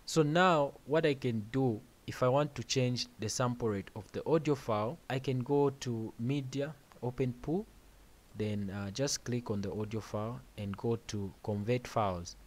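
A man hosting a music-production tutorial, explaining software steps plainly over a screen recording with casual asides. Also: medium pitch, normal speed.

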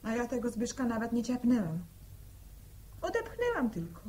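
An actress playing a defiant, combative village wife in an old radio drama. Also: female voice, fairly high pitch, huge pitch swings, moderate pace, crowd noise. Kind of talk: 1960s radio drama acting.

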